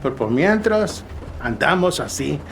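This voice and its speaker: Chatty, lively, high-voiced truck driver. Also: male voice, normal speed, vocal fry. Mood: chatty, friendly. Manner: rambling, folksy, animated. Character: kindly, chatty local truck driver, a proud father. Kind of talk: audio drama acting. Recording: noisy rumbling vehicle ambience. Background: truck engine and road noise.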